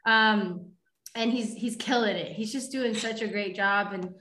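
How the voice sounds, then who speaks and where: A woman's voice: medium pitch, measured pace, sharp tone, echoey room. A woman hosting a casual interview, upbeat and chatty. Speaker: host.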